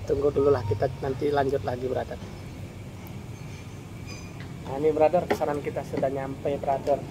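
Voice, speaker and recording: A man's voice: medium pitch, flat delivery, normal speed. A middle-aged man filming a casual food review vlog at a cafe table, talking to viewers in a friendly, chatty way and calling them "brother". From Indonesia. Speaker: food vlogger. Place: open-air cafe, evening. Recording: noisy cafe phone recording.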